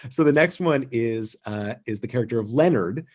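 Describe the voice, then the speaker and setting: A man's voice: medium pitch, animated, moderate pace, darkish tone. A man hosting a casual online theatre talk, introducing clips in a hesitant, conversational way. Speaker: host and theatre director.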